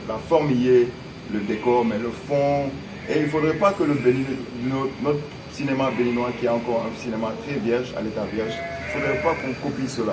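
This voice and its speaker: A man who grew up in Senegal, answering interview questions earnestly and hesitantly, with many fillers. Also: mid-range voice. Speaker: guest. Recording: distant mic with steady noise.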